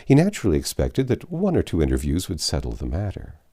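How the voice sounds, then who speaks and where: A man reading a classic novel aloud in a measured, expressive way, with the odd slip and self-correction. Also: low voice. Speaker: audiobook narrator.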